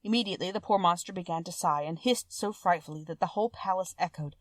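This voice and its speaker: A woman's voice: lowish voice, fast, dry recording. A woman reading a classic fairy tale aloud as scripted storybook narration.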